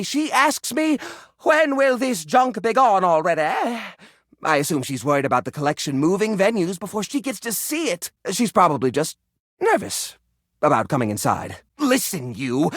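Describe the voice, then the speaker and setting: An actor playing a flustered, defensive junk-drawer curator in a video game, with a nervous, stammering, theatrical manner. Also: male voice, sits high, fairly bright tone, dry recording, studio quality, full character voice. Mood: dejected. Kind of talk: animated video game character acting.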